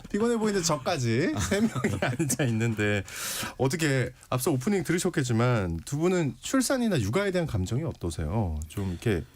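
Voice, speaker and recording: A man in his forties hosts a casual studio interview. His pitch swings widely and expressively at a medium height. He is chatty and playful, tossing out short questions and teasing asides. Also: big pitch swings, fairly quick, few pauses, darkish tone, slight background noise. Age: 40s. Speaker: radio host. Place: radio studio.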